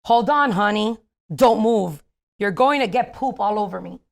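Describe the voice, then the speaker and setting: Nurse, thirties, blunt yet soothing, creaky voice, comedy table read, female actor. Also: mid-range voice, moderate pace, natural voice. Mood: firm, soothing. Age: 30s.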